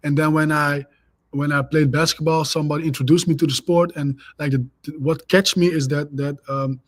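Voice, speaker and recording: A young man speaks English with a Dutch accent. His voice sits fairly high, and he talks with eager, restless energy. The sound is dry and close. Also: little pitch movement, brisk, dry recording. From Netherlands. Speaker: guest. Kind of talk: casual interview chat.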